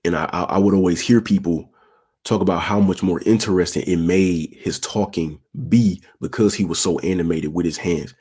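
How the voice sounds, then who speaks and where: Low raspy monotone young man. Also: normal speed. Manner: laid-back, peppered with "you feel me", talks with his hands. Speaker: channel host giving dating advice. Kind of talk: casual advice talk answering viewer questions.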